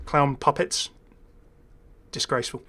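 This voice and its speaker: A chatty male gaming host with a medium-pitched voice talks casually off the cuff. He thinks aloud, hesitating as he goes, and slips in dry, wry asides. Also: a little slow, sharp tone, husky. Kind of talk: casual unscripted video game commentary.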